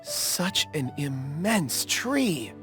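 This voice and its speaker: A slow, thoughtful young scholar. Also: male voice, on the high side, sing-song, over loud music, full character voice. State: faint and breathy after a dizzy spell. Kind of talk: game cutscene dialogue.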